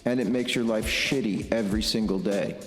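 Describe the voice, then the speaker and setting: A middle-aged man giving a reflective, blunt personal monologue in a halting, deliberate way, repeating phrases for emphasis. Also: fairly low pitch, steady tone. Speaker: podcast host. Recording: echoey long reverb, music underneath. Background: music.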